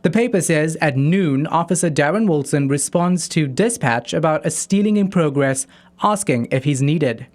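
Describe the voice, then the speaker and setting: Male news correspondent, slightly high voice, scripted report narration. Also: expressive, normal speed, darkish tone, slight background noise.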